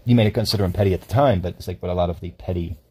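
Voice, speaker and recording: Deep husky man chatting loosely. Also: very fast, darkish tone. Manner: rambling, self-correcting, laughs often. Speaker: podcast co-host. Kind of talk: casual faith chat.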